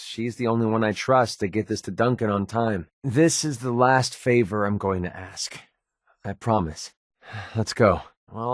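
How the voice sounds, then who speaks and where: A man's voice: lowish voice, dry recording, studio quality, close to an everyday voice. An actor playing a sardonic young male mercenary in a video game, with an American accent and a casual, grumbling way of talking. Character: wry young male mercenary sniper in a post-apocalyptic wasteland. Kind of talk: video game companion dialogue.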